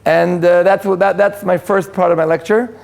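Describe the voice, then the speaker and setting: An Israeli man whose first language is Hebrew gives a relaxed talk to an audience in a community hall. His voice sits high and carries a gravelly fry. He stumbles through restarts and fillers and drops in dry jokes at his own expense. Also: moderate pace. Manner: self-deprecating, joking asides, frequent restarts and fillers. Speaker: lecturer. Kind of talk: informal lecture to an audience.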